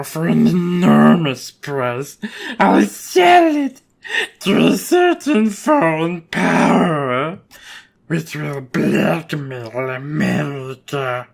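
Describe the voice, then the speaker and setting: A man playing a gloating supervillain crime boss in a motion comic, with a gravelly, husky voice and a grandiose, deliberate manner. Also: fairly high pitch, exaggerated voice. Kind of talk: motion comic villain acting.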